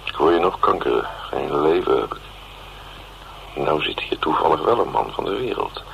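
Very deep, gravelly, sardonic investigator. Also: male voice, lively intonation, moderate pace, muffled recording, heavily acted. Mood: teasing, boastful. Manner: wry, grumbling, colloquial. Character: gruff, sardonic male investigator dictating notes to his secretary. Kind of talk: Dutch radio drama, dictation on tape. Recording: slightly noisy radio drama recording.

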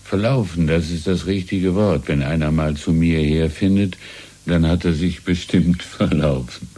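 A wistful old shopkeeper from a radio play. His voice is low, dark and husky, and his manner is hesitant, courteous and gently deferential. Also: a little slow. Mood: apologetic. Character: courteous, wistful old antique-shop keeper. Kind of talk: 1970s German radio drama acting.

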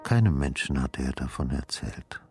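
A man narrating a literary radio drama in a sombre, measured and grave style. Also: very deep voice, lively intonation, music in the background.

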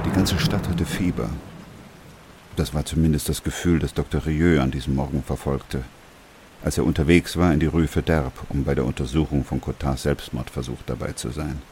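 A man's voice: rumbling low, a little mellow, slight background noise. An actor playing a sober, composed male doctor who also narrates a literary radio drama.

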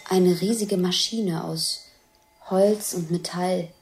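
A woman's voice: fairly low pitch, fairly even intonation, slow pace, a little mellow, slight background noise. A woman playing an audio drama narrator who describes a fairy-tale scene in German, with music behind her. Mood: storytelling, observant.

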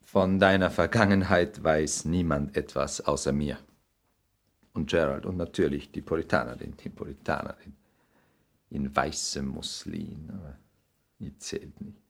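Deep-voiced, suave cynical lord. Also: male voice, steady tone, unhurried, vocal fry, heavily acted. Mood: coolly bargaining. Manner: polished, urbane, condescending. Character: suave, cynical aristocratic English lord, arrogant and worldly. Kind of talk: 1960s German radio play acting.

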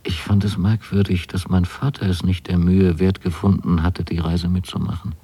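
An actor playing a dreamy, naive young son who narrates letters to his mother in a measured, polite radio monologue. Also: low-pitched, little pitch movement, warm tone, slightly rough. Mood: puzzled. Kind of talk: literary radio drama monologue.

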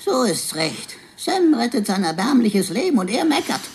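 A mouthy little robot with a high, husky voice that swoops about. It plays the servile butler in flowery phrases, then turns sarcastic and insulting, in lively radio drama style. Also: female voice, moderate pace. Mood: sarcastic, teasing. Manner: flowery mock-deferential phrases mixed with insults.

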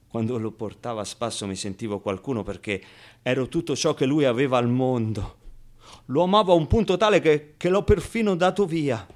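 An actor playing a naive, wistful, streetwise ten-year-old orphan in an expressive, halting radio monologue. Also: male voice, medium pitch, natural voice. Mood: wistful, tender. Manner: expressive, halting, conversational storytelling with rhetorical tags. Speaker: solo actor.

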